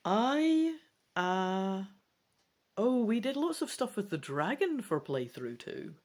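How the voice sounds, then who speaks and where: A woman reading a fantasy gamebook aloud as its player, in an expressive, theatrical storytelling style. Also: medium pitch, takes it slowly. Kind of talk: dramatic storybook narration with casual asides.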